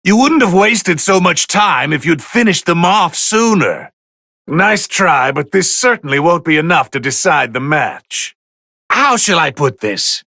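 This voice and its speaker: Pompous god of destruction for a fighting game, raspy fry, sharp bright tone, drawly disdain, swings up and down. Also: male voice, on the high side, lively intonation, unhurried, dry recording, studio quality, exaggerated voice. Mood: smug. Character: haughty, bored god of destruction, male, easily irritated. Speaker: fighting game commentator character. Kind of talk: video game commentary voice lines.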